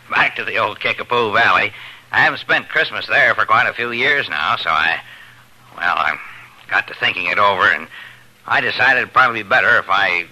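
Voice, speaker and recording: Low-voiced, awkward, apologetic guest. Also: male voice, normal speed, bright, forceful tone, poor audio. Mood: uneasy. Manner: hesitant, self-effacing. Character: polite, awkward, apologetic male family guest. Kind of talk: 1950s radio drama acting. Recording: vintage 1950 radio broadcast.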